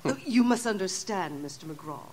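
A woman's voice: fairly low pitch, animated, moderate pace, darkish tone. An actress playing a formal, dignified Victorian lady mayoress in an audio drama, with a stately, official manner. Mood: formal, diplomatic.

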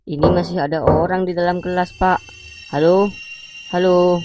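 A man voicing a skeptical but easily frightened young college student in a cartoon, colloquial and expressive, with a Jakarta accent. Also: high-pitched, natural voice, poor audio. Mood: uneasy, then terrified. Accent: Jakarta Indonesian. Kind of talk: animated cartoon dialogue.

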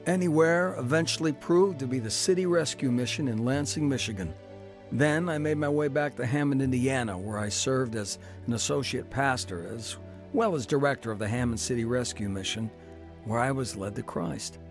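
Gravelly guy narrating his own faith story, earnest, bit preachy, faint music behind. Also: medium pitch, normal speed. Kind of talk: dramatized testimony narration.

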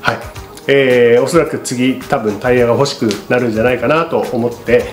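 A man's voice: medium pitch, steady tone, a little fast. A middle-aged man politely explaining a product to camera in a casual, unscripted way, as a shop presenter. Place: small indoor studio with paper backdrop. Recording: roomy echo, music behind.

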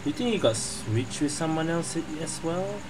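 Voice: medium pitch, expressive, moderate pace. A gamer giving casual gameplay commentary, muttering and puzzling aloud. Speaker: gaming streamer. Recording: distant mic under loud game music. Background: loud game music.

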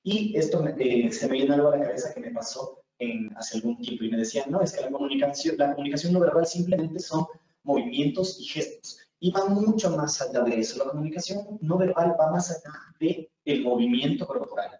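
A man's voice: fairly high pitch, a little fast, mellow. A man in his twenties from Ecuador with an Ecuadorian accent, giving an earnest webinar lecture on communication and repeating words for emphasis. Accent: Ecuadorian Spanish. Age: 20s. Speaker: communication instructor. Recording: echoey room, far from mic, muffled.

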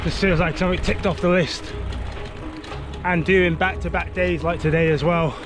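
A man's voice: sits high, steady tone, moderate pace, music in the background. A man in his thirties vlogging while cycling outdoors, out of breath and talking between efforts into a camera mounted on his bike. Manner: breathless talk between pedal strokes. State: out of breath and sweaty. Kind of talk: casual vlog while riding. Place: outdoors on a road bike, open roads. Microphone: action camera mounted on the bike.

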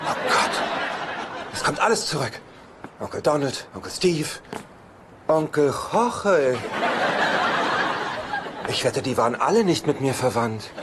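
Neurotic sitcom bachelor, mid-pitched and very animated, self-pitying sarcasm, noisy TV audio. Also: male voice, a little slow, pauses often, over a noisy crowd. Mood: horrified. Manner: dramatic, exasperated. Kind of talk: German sitcom dubbing.

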